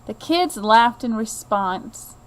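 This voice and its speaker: A woman reading a children's picture book aloud in an expressive, deliberate way, like a teacher to a child. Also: fairly high pitch. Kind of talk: children's storybook read-aloud.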